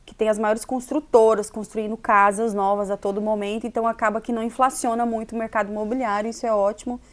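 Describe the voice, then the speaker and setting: A woman hosts a relaxed interview, keeping the talk moving with tag questions. Her voice is fairly high for a woman. She chats in a friendly, conversational way. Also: fast. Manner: chatty, often adds tag questions. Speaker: interviewer, magazine host. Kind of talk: casual interview chat.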